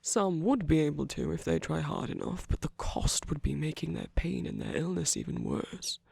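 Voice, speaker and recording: A man playing a tender but commanding villain in a romantic ASMR roleplay, speaking softly and breathily with measured pauses, often dropping to a whisper. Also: on the high side, huge pitch swings, vocal fry, slightly rough, no echo, heavily acted. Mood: gentle, earnest. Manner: slow, gentle, measured, with deliberate pauses. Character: tender, commanding male villain comforting his lover.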